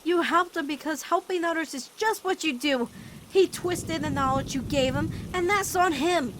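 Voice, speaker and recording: A woman voicing a caring, steadfast teenage witch girl in an animated-style comic dub, reasoning firmly and tenderly. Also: sits high, moderate pace, noisy background, close to an everyday voice. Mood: firm, consoling.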